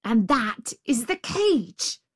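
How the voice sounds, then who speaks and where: A woman's voice: on the high side, lively intonation, slow pace, no room sound, studio quality, voice pushed up. A woman voicing a fierce, impatient and gullible male tiger in a storybook, with a bossy growl. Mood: exasperated rage.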